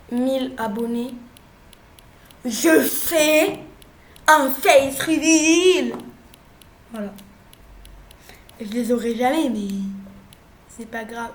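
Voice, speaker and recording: A young woman with a cold, chatting playfully through gameplay commentary, jumping from loud exclamations to quiet mumbles. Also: fairly high pitch, echoey room, slight background noise. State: has a cold. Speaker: gaming youtuber.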